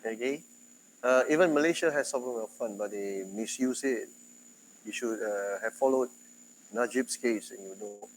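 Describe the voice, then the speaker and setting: Singaporean male lecturer, mid pitch, conversational classroom delivery, faint room noise. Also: moderate pace, poor audio. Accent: Singaporean English. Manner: chatty, animated, quotes others in dialogue. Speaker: university lecturer.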